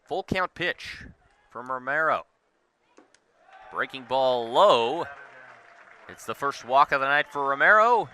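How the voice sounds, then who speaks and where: A man's voice: mid-range voice, lively intonation, slow pace, pauses often, sharp tone, smooth. A young man calling a game live as a play-by-play sports announcer. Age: young adult. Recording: noisy arena and ballpark ambience. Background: sports venue noise, bouncing basketballs.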